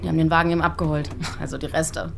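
An actress playing a blunt, distrustful, sneering gang member in a radio crime drama. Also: female voice, deep voice, fairly even intonation, racing, gravelly, background noise. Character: hard, distrustful, foul-mouthed female gang member. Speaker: voice actor. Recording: music behind the voice.

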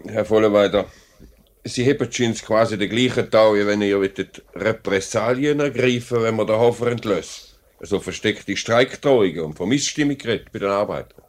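A man's voice: lowish voice, natural voice. A man playing a formal, authoritative factory director with a Swiss German accent in a 1950s radio play. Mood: firm. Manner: measured, formal, noncommittal. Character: measured, authoritative male factory director, businesslike.

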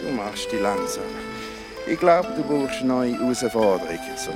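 A man's voice: medium pitch, big pitch swings, normal speed, loud music behind the voice, lightly acted. A man with a Swiss German accent playing a greedy young monastery pupil who teaches in a savouring, schoolmasterly way in a children's audio drama. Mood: smug, demanding. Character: greedy, smug young male monastery pupil giving lessons.

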